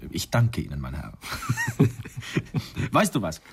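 A man's voice: sits low, huge pitch swings, slow pace, husky, full character voice. A man playing a jovial, mock-formal intellectual politician with an ironic manner in a radio drama. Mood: teasing.

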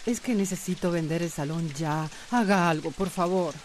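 A woman's voice: on the low side, moderate pace, darkish tone, slight background noise, lightly acted. An actress with a Costa Rican accent playing a troubled woman who holds something back, in a radio soap. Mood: urgent. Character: troubled, desperate woman holding back a secret. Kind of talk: radio soap opera acting.

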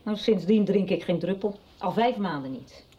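A woman's voice: moderate pace, lightly acted. An actress as a probing, sober woman. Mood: urgent. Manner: pointed, probing questions, often quiet and low. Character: sober, probing woman chasing hard news. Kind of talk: 1990s radio soap acting. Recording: dull lo-fi radio recording.

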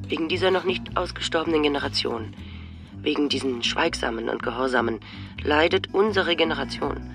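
A man reading as a creaky, bitter, scornful blogger. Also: mid-range voice, natural voice. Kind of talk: dramatic reading of a written rant. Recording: guitar music behind the voice.

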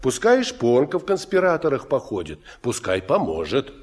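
An actor playing a good-humoured father who is calmly in charge, with a husky voice, in a Russian radio drama. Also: mid-range voice, moderate pace. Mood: thoughtful, teasing.

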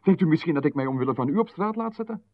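A man playing a smooth, menacing visitor who talks down to a writer in a radio play, calmly condescending. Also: a little high, racing, close to an everyday voice. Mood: indignant. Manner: calmly condescending, turning sharply indignant. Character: smooth menacing male visitor, patronizing official. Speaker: visitor who calls himself Marchon. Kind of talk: 1990s radio play acting. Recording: narrow phone-like radio band.

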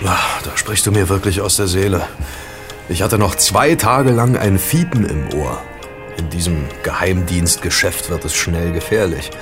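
An actor playing an easygoing young night porter in a German radio play, with a casual, colloquial way of talking. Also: male voice, sits low, unhurried, over music. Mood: wry.

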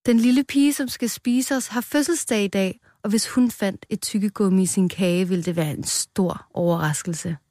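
A woman's voice: medium pitch, few pauses, a little mellow, no echo, close to an everyday voice. Old strawberry elder for a storybook, played by a woman: kindly, blunt, questioning, dry close recording. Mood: surprised. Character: old strawberry elder, male, friendly but blunt and curious.

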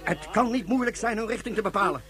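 An actor playing a pompous, flustered supreme leader in a radio play, speaking in a halting, indignant and self-important way. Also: male voice, high-pitched, expressive, crowd noise. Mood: indignant, resolute.

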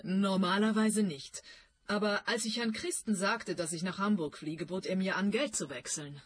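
Actress for poised lady suspect, radio crime comedy. Husky timbre, arch and superior delivery. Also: mid-range voice, moderate pace, lightly acted. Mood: amused, defiant. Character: poised, haughty businesswoman suspect, coolly amused.

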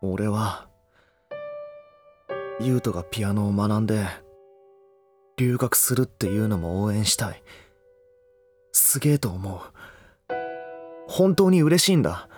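Brash, conflicted young man, voice drama. Blunt, rough phrasing, highly animated intonation, music underneath. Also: a little slow, long pauses. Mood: reluctant, pained. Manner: rough, blunt casual speech. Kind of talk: Japanese voice drama acting.

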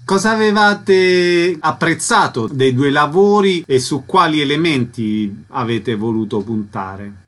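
A man hosts a radio interview. His voice is moderately high for a man, and he keeps his questions concise and to the point. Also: lively intonation, unhurried, hardly any pauses, a bit bright.

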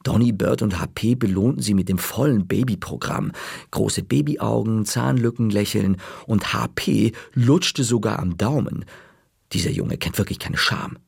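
A man narrating a children's story in the first person with a lively, wry delivery. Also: fairly low pitch, normal speed, creaky, slightly rough, no echo, studio quality. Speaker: audiobook narrator.

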